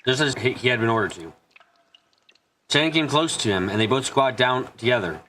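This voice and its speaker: Guy reading a visual novel out loud fast, fumbles lines, faint noise behind. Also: mid-range voice, expressive. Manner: stumbles over lines and corrects himself. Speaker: streamer reading a visual novel aloud in translation. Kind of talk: amateur dramatic reading and narration.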